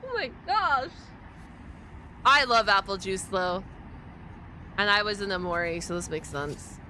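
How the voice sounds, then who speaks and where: Animated woman streamer chatting casually. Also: medium pitch, measured pace, a little hiss or hum, low-quality recording. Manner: excitable, exclamatory, slangy and sweary. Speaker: streamer reacting to a video. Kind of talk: casual livestream reaction chat.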